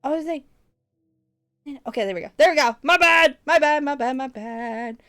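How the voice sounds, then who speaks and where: Woman streamer, slightly high voice, loose teasing chat, giggles a lot, faint music underneath. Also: moderate pace, a bit bright. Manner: playful, teasing, laughs often, says y'all. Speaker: livestreamer. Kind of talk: casual stream chat with viewers.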